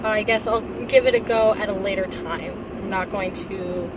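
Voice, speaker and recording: A young woman with a hoarse voice from recent laryngitis, chatting casually about a book she read while driving, with plenty of fillers. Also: medium pitch, fairly even intonation, normal speed, recorded from a distance. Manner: chatty, rambling, many fillers. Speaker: book reviewer. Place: inside a car on the road. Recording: car cabin with loud road noise, off-mic.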